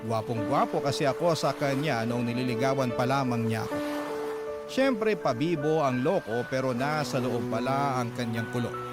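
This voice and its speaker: A man's voice: medium pitch, normal speed, lightly acted. A man voicing a letter writer, a mother recounting past heartbreak, as first-person radio drama narration. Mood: reflective recounting. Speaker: narrator reading a letter sender's story. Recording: loud music bed under voice.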